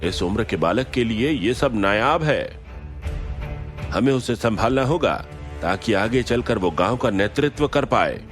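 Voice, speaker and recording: A man voicing a calmly firm village clan head with formal, measured authority in an audio story. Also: fairly low pitch, soft background music. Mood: firm, assured.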